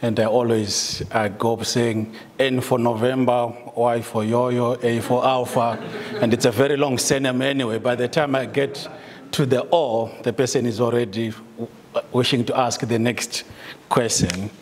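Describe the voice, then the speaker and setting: A man's voice: medium pitch, fairly even intonation, a little slow, in a large hall. A middle-aged man from Zimbabwe with a Zimbabwean accent, giving a talk to staff with many ums and uhs and some light laughter. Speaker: guest speaker, solicitor. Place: meeting room, indoors.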